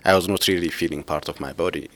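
A man in his thirties from Ethiopia, soft-spoken and laughing often as he talks with his former co-advisor. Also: deep voice, steady tone, quick pace. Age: 30s. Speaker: former PhD student, interviewee. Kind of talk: casual conversation.

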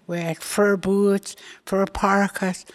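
Woman in her 80s from Alaska, unhurried pause-filled storyteller, ordinary mid-range voice. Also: medium pitch, flat delivery. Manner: slow, halting storytelling with pauses. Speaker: elder interviewee. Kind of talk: personal life story.

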